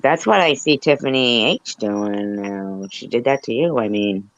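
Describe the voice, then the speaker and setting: A woman talks casually on a chat panel, taking her time and often halting as she rambles. The sound is dry and close, but dull and low in fidelity. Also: lively intonation, no room sound. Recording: dull lo-fi call audio.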